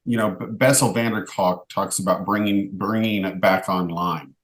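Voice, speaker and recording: Low-pitched man giving earnest advice. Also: fairly even intonation, normal speed. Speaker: panel co-host. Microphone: video call.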